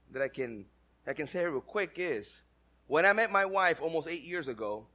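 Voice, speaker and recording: A man's voice: high-pitched, pushed and bright. A man from the Dominican Republic with a Dominican-accented English, giving a casual, conversational sermon and asking the congregation questions. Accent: Dominican Spanish-accented English. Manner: conversational, asks the crowd questions, self-deprecating humor. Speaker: church speaker.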